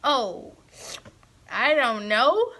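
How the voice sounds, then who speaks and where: A woman's voice: a little high, sing-song, extremely slow, sharp tone, full character voice. A big, scruffy boy monster from a children's storybook, acted out by a woman. The words come out slurpy and very drawn out. He is whiny and puts on a show of menace that is more silly than frightening. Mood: mock-menacing.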